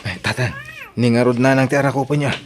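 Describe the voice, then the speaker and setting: Dutiful young son in Ilocano radio play male actor, medium pitch, clearly noisy recording. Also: fairly even intonation, fast talker, natural voice. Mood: supportive. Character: respectful, supportive young adult son. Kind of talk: Ilocano audio drama acting. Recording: noisy home-made audio drama track.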